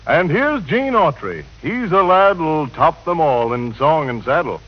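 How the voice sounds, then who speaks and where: A man's voice: a little high, very animated, measured pace. A man announcing on 1940s radio in rhymed, scripted introductions. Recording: dull 1940 radio recording.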